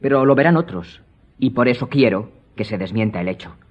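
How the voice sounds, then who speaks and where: An actor playing a hot-headed, honour-bound young aristocrat in a radio drama, formal and insistent. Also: male voice, medium pitch, animated, brisk, highs cut off, natural voice.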